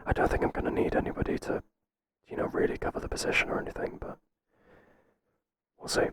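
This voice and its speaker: A man playing a mild, kindly workplace boss in a whispered ASMR roleplay, soft-spoken and halting with a breathy voice. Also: very noisy, lightly acted. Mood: hesitant, accommodating. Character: mild, hesitant, kindly male workplace boss, flustered by flattery.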